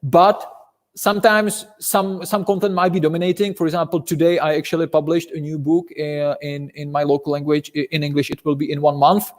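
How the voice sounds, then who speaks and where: A man's voice: a little high, flat delivery, brisk. Czech-accented man, reverberant phone audio. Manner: hesitant, repeats words. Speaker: co-host. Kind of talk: casual live chat.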